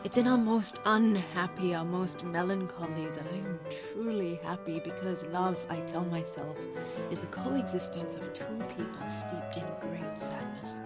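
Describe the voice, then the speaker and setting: A woman's voice: fairly low pitch, normal speed, loud music behind the voice, lightly acted. A woman playing a melancholy, possessive lover in an intimate audio drama, speaking in an intense, poetic, confessional way. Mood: melancholy, fervent. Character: melancholy, possessive heartbroken lover, an actor by trade.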